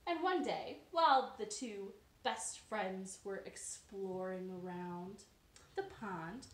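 A woman's voice: medium pitch, takes it slowly, fairly bright tone. A young adult woman, a children's storyteller, giving a storytime for young children. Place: living room, indoors. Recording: roomy living-room sound.

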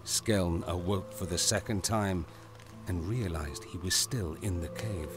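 Low-voiced male dramatic narrator over music. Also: a little slow.

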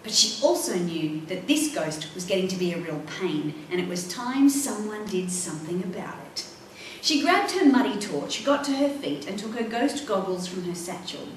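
A middle-aged woman reading a children's adventure novel aloud in an expressive, dramatic way. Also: medium pitch, normal speed. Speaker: author reading own book. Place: indoor library room, seated at a table. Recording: echoey room, distant mic, faint noise.

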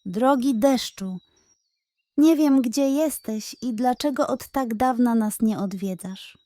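Woman voicing a curious, earnest little boy, soft and high. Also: slow pace, no room sound. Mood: earnest, wistful.

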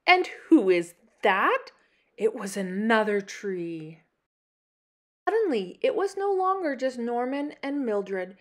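A woman hosts a children's story time, reading aloud expressively. Her voice is fairly high and very varied in pitch. She takes her time, leaning on dramatic pauses and emphasis. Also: sing-song. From Canada. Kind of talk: expressive picture-book read-aloud.

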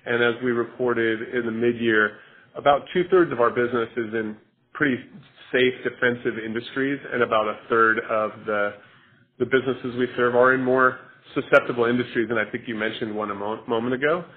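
A man's voice: mid-range voice, fairly even intonation, fairly quick. Man on a phone-like conference line. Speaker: company chief executive answering investor questions. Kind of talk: conference call Q&A. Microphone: telephone conference call.

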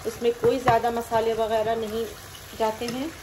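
Woman, cooking host, friendly step-by-step voice-over, fairly high voice, kitchen noise and sizzling underneath. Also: flat delivery, normal speed. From Pakistan. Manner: friendly, explains each step plainly. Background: frying and sizzling.

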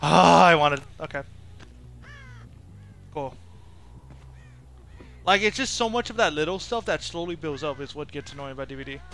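A male game streamer with a bright, slightly high voice talks casually through his play. He rambles and thinks aloud, often self-critical, over faint game sounds. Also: moderate pace, pauses often, slight background noise. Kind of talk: casual live gameplay commentary.

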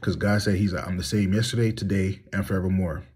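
Man, 30s, very low husky voice, heated and blunt, phone selfie monologue from a small room. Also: fairly even intonation, brisk, a little mellow. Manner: heated, blunt, rhetorical questions. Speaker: vlogger. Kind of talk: candid monologue to phone camera. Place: small room indoors, reclining. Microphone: phone at arm's length.

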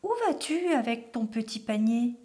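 A woman's voice: a little high, animated, moderate pace, mellow, close to an everyday voice. A woman voicing a sly, hungry male wolf in a children's tale, with a wheedling, falsely friendly manner.

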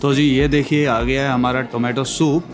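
A man's voice: mid-range voice, moderate pace. Young male travel vlogger, casual and chatty with lots of fillers, handheld camera sound, music bed under the voice. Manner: relaxed, chatty, frequent fillers, mixes English phrases. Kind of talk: casual travel vlog narration. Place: outdoors at hill viewpoints and inside restaurants.

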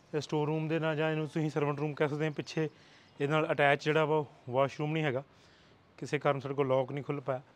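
A middle-aged Punjabi man walks through a bare new house, describing it in a steady, explanatory way. His voice sits a bit high and stays level in pitch. Echo from the empty rooms lingers around his lapel-mic sound. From Punjab, India. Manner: steady, explanatory, mixes English words into his speech. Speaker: real estate agent giving a house tour. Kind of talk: walking property tour commentary.

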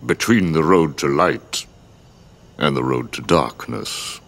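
Game role: mysterious older cloaked figure, very deep, solemn. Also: male voice, expressive, takes it slowly, fairly bright tone, heavily acted. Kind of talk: video game cutscene acting.

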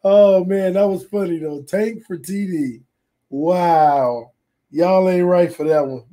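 A man's voice: high-pitched, slow pace. Man streaming with a strained, fading voice. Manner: talks quietly to spare his voice, reads chat aloud. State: losing his voice. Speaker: sports channel host. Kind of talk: casual livestream chat while gaming. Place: small home room full of fan decor. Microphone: desk mic on stand.

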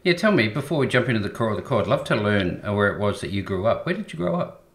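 A man's voice: fairly low pitch, very animated, fast, creaky. A man hosting an interview who asks short, friendly personal questions. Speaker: interview host.

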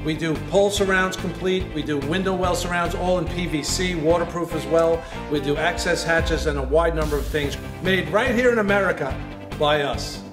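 A man's voice: a little high, moderate pace. A man in his fifties giving a promotional talk as a company representative. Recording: echoey room with music behind. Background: plucked guitar music.

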